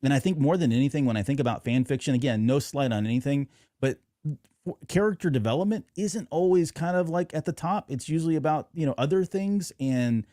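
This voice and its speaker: A man's voice: on the high side, expressive, a little fast, mellow, no echo, clean studio sound. A man hosting a podcast in casual conversation, with an agreeable manner toward his guest. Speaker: podcast host. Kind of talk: casual interview chat.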